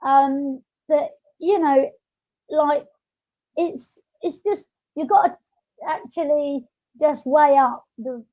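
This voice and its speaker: Female podcast host, high voice, hesitant conversational delivery, dry but dull lo-fi sound. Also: slow pace. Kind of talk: casual podcast chat. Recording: dull lo-fi audio.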